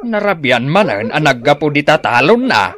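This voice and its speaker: A man's voice: fairly high pitch, big pitch swings, moderate pace, fairly bright tone. A man playing a skeptical, easygoing village husband with an Ilocano accent, casual and conversational in a radio comedy-drama.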